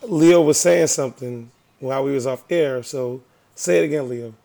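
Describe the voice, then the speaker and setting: A man co-hosting a podcast in casual banter, playfully nosy and egging the others on, with a gravelly voice. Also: mid-range voice, moderate pace, dark tone. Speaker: podcast co-host.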